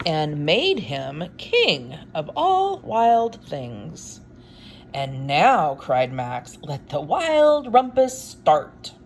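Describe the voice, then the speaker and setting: Slow talking lady doing storytime, kinda low voice, wry little asides, faint noise behind her. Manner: expressive, unhurried reading with wry offhand commentary. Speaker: storyteller reading a picture book aloud. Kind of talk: read-aloud storytime with casual asides.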